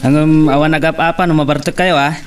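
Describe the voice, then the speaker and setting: A man's voice: fairly high pitch, fairly even intonation, quick pace, recorded from a distance, close to an everyday voice. A man with an Ilocano accent playing a lovelorn business owner in a romance radio drama. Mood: brooding. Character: lovelorn male boss and business owner, envying his friend.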